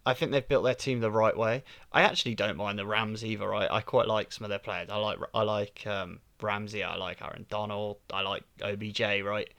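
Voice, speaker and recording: A man's voice: lowish voice, fairly even intonation, a little fast, hardly any pauses, a bit bright, dry recording. Chatty man, dry sound. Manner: chatty, opinionated, laughs easily. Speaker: podcast guest. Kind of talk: casual sports podcast chat.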